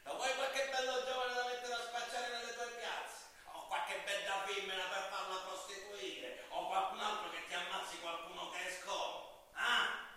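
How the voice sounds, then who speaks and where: A man's voice: high-pitched, level tone, moderate pace, pushed and bright. A man playing a bitter, defiant vigilante prisoner in a stage monologue, confronting a judge with sarcastic, rhetorical questions. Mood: angry, taunting. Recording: echoey noisy hall from far off.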